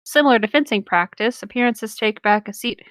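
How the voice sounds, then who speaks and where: A woman's voice: a little high, brisk, a bit bright, dry recording. A woman co-hosting a show, reading a story aloud informally and breaking off for sardonic banter. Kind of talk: casual story narration and banter.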